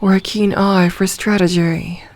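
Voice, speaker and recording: A possessive werewolf pack leader, acted by a woman in an ASMR fantasy roleplay. She speaks very slowly and deliberately, in formal, commanding phrases. She is a grown woman who rules her pack and is devoted to her mate. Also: medium pitch, flat delivery, a little mellow, natural voice. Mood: tender, adoring.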